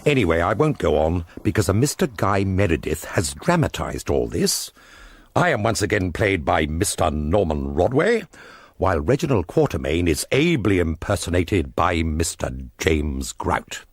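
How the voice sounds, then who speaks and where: An actor playing a wry, evasive middle-aged painter on the run, confiding in a British accent in a radio drama. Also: male voice, deep voice, big pitch swings, moderate pace, dry recording, studio quality, exaggerated voice. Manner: droll, self-justifying, verbose. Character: wry, evasive fugitive painter reduced to pavement art.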